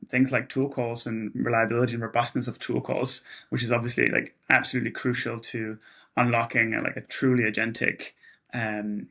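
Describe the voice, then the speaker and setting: A man's voice: mid-range voice, pushed and bright. A man who runs a company, interviewing a guest and adding his own commentary between questions. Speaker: interviewer, company chief executive.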